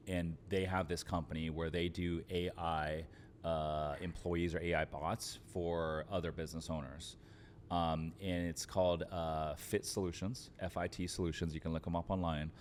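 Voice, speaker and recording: Deep-voiced man talking business. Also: a little mellow, slight background noise. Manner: informal, frequent fillers like "like" and "literally". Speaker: podcast guest, real estate entrepreneur. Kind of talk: conversational business advice.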